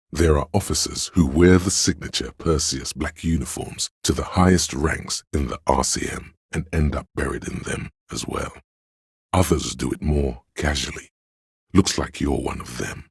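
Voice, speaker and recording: Profoundly deep, gravelly brooding narrator. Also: male voice, dry recording, studio quality, full character voice. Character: brooding omniscient inner voice narrating weary police life. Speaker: voice actor narrating a detective game. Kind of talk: dramatic literary scripted narration.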